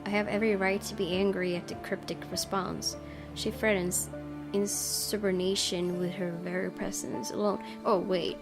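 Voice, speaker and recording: A woman's voice: medium pitch, little pitch movement, normal speed, gravelly. A woman streamer reading a visual novel aloud and adding casual, musing commentary. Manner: musing, hesitant asides. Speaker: let's play streamer. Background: faint game music.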